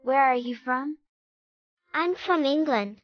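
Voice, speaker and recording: A woman voicing a cheerful young English schoolgirl in a textbook dialogue, reading slowly and clearly. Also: high voice, dry recording. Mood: friendly. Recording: dry, slightly dull lo-fi.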